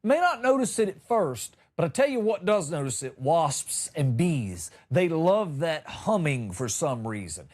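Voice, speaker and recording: A middle-aged man delivers a comic storytelling monologue in a fairly high voice. His pitch swings widely as he builds wry exaggerations and lands emphatic punchlines, like a seasoned comedy podcast host. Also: big pitch swings, a little slow, few pauses, darkish tone.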